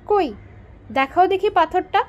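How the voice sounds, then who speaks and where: A woman voicing an excitable, fatherly king in a storybook, speaking in an emphatic, commanding way. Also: very high voice, a little slow, muffled recording, voice pushed up. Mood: eager, excited. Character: excitable, generous fatherly old-fashioned king.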